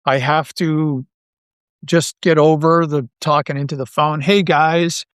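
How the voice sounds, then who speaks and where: A middle-aged man talking casually as an interview guest, thinking aloud with halting, repeated phrases. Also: on the high side, fairly even intonation, clean tone, no echo, studio quality. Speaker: guest, audio drama writer and creator.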